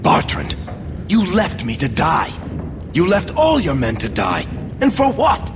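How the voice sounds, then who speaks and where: An actor voicing an indignant dwarven adventurer in a fantasy video game, impassioned and rhetorical. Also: male voice, high-pitched, huge pitch swings, measured pace, background noise, exaggerated voice. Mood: hurt, accusing. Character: indignant dwarven younger brother, bold adventurer. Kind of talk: dramatic video game voice acting. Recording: long hall reverb, game music behind.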